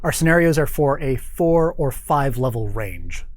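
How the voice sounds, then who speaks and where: A man's voice: medium pitch, lively intonation, a little slow, dry recording. A man speaking as an interview guest, casually explaining how things work in careful detail. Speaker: guest, game organized-play developer.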